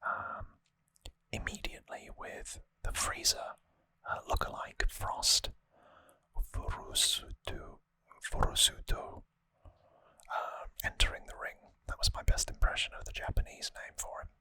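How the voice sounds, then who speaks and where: An ASMR host whispering a trivia recap gently and hesitantly, close to the microphone. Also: measured pace, dry recording. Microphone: close microphone, dry sound.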